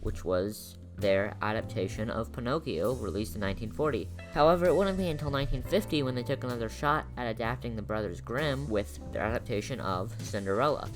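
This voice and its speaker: A man reading scripted narration for a video essay. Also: mid-range voice.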